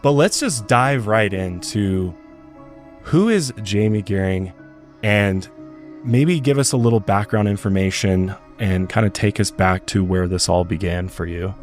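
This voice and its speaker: Homem rouco, grave, com música de fundo. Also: male voice, fairly low pitch, unhurried, soft background music. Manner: chatty, rambling with restarts. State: hoarse from illness. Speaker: podcast host. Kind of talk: podcast interview.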